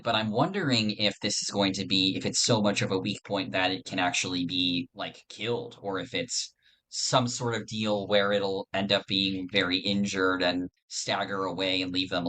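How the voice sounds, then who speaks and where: A man's voice: deep voice, moderate pace, a bit bright, slight background noise, poor audio. A man in a casual podcast discussion, explaining fictional lore in earnest detail. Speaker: podcast panelist.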